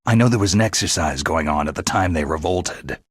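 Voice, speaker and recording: A man voicing a gruff, sardonic veteran special forces soldier in a video game, with a gravelly, husky voice and a terse, skeptical manner. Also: low-pitched, a little fast, dry recording, clean studio sound. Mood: probing, serious.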